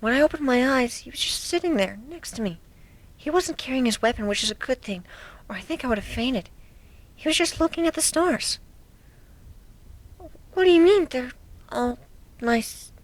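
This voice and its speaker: A woman voicing a timid, gentle young female pegasus pony, telling a story in a soft, hesitant way that can drop to a near whisper. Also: high voice, expressive, normal speed, pauses often, dry recording. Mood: timid, nervous. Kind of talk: first-person dramatic reading of a fan story.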